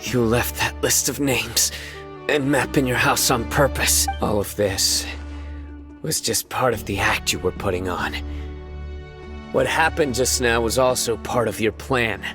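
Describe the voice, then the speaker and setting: An actor playing a stern, unyielding young judge-warrior in a video game, terse, grave and resolute in delivery. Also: male voice, medium pitch, measured pace, over music, natural voice. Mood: stern accusation. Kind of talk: dramatic video game voice acting.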